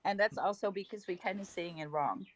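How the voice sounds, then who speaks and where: A woman's voice: mid-range voice, normal speed, a crowd in the background. A woman with a Dutch accent co-hosting a crypto livestream, giving casual market commentary in an upbeat way, laughing often and using hype slang.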